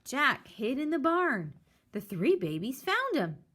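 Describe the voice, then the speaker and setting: A woman reading a children's picture book aloud in an animated storytelling style. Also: high-pitched, takes it slowly, husky. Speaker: story reader.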